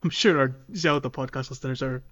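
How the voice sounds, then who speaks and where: A man's voice: medium pitch, fairly even intonation, fairly quick, a bit bright. Hesitant man chatting about games. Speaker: podcast co-host. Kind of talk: casual gaming podcast chat.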